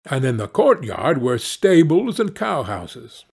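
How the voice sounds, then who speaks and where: A man narrating a fairy-tale audiobook in a measured, expressive storytelling manner. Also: medium pitch, vocal fry, husky.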